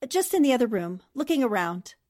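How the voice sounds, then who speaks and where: A woman's voice: fairly high pitch, sing-song, brisk, darkish tone. A woman playing a shrewd, self-assured newspaperwoman sleuth in 1940s radio mystery style, explaining things precisely and methodically. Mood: composed.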